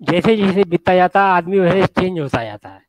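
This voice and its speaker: A man with a sore throat joins a group debate over a video call. His voice sits slightly high. He argues haltingly, repeating words and restarting his sentences. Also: animated, rough recording.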